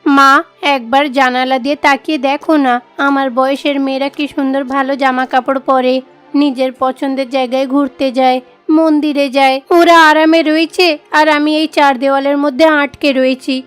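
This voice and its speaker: A woman's voice: high voice, flat delivery, fairly quick, soft background music, lightly acted. A woman playing a confined young daughter in a cartoon story, plaintive and questioning. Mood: wistful complaint.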